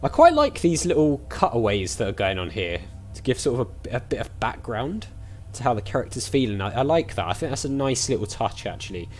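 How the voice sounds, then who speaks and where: A man's voice: mid-range voice, wildly swooping pitch, a little fast, a little hiss or hum. A man giving casual let's play commentary on an adventure game, chatty and musing aloud as he goes. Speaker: gamer playing an adventure game. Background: game music.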